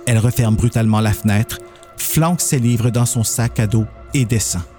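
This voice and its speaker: A man's voice: mid-range voice, normal speed, dark tone, faint music behind. A man reading scripted narration for a dramatised horror story, as its narrator.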